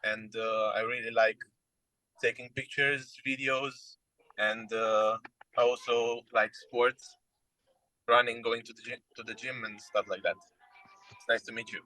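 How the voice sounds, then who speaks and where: Bright-voiced young man. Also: mid-range voice, flat delivery, a little slow, poor audio. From Romania. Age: young adult. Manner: hesitant, many fillers. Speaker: photography student. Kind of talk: online webinar self-introduction.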